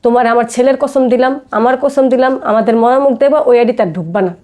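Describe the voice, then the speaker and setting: Bangladeshi lady telling her life story, talks fast, soft mellow voice, casual. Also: fairly high pitch. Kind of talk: personal storytelling in casual talk.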